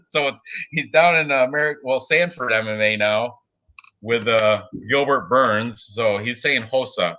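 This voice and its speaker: A man's voice: mid-range voice, a little slow, sharp tone, muffled recording. A man in his forties hosting a casual sports betting chat, rambling with lots of fillers and frequent laughs. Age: 40s. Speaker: podcast host.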